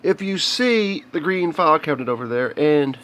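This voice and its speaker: American man, slightly high voice, halting explanations. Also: expressive, fairly bright tone. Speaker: hobbyist video maker showing electronics. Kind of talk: casual unscripted show-and-tell. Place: indoors, cluttered home workshop.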